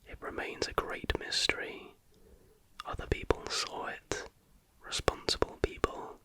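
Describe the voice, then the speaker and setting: An ASMR host reading factual material aloud in a breathy, unvoiced whisper with a measured, hushed delivery. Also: low-quality recording.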